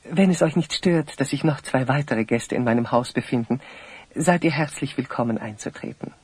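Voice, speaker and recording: A dignified older parent and host acted by a man, measured and hospitable. The voice is very hoarse and airy at a middling pitch, in formal audio-play delivery. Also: darkish tone, close to an everyday voice. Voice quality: very husky, breathy. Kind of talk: German fantasy audio play acting.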